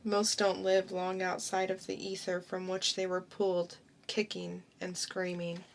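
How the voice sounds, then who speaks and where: A woman reading a narrator's part in a measured, reflective way during an amateur dramatic reading. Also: on the low side, completely flat delivery, creaky, slight background noise. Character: calm grown daughter narrating her own birth.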